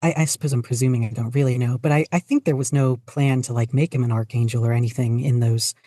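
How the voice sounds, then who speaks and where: A podcast guest chatting casually, speaking in halting but enthusiastic bursts. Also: medium pitch, fairly even intonation, mellow, dry recording, studio quality. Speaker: podcast guest, fan fiction writer. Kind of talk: casual interview chat.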